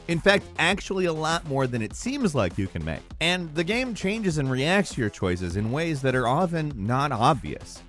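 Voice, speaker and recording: A man presenting scripted but conversational video game commentary, with emphatic, wry asides. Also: medium pitch. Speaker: video presenter narrating a countdown of video game moments.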